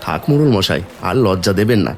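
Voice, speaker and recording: A man's voice: sits low, sing-song, fast talker, a little mellow. A man voicing an indignant village father in a cartoon fairy tale, scolding and reproachful, with emphatic delivery.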